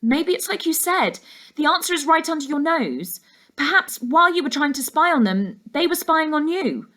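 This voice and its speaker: A curious, frank young girl in a children's novel, played by a woman reader. Her voice sits high and light, and she speaks earnestly. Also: sing-song, moderate pace, fairly bright tone. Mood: tentative, reasoning.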